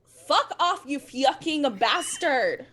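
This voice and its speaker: A woman's voice: high voice, animated, slow, a bit bright, heavily acted. A woman playing a brash, sassy teenage goth girl in an amateur dramatic reading of fan fiction. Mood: indignant. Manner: sassy, text-speak abbreviations spoken out.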